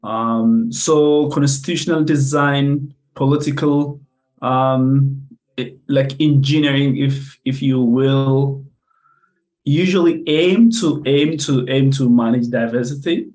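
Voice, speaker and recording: A young man from Ethiopia presents academic research over a video call. He speaks unhurriedly, stopping often for fillers and restarting his sentences. His pitch sits in a middle range. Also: steady tone, slow pace, darkish tone, poor audio. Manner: hesitant, many fillers and restarts. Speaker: conference speaker.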